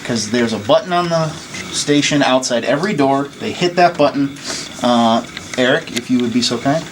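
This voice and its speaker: A man's voice: mid-range voice, moderate pace. A man giving an informal hands-on training demonstration, explaining things plainly and step by step. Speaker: technician giving staff training. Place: indoors, at an equipment station. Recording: noisy room, clear background noise.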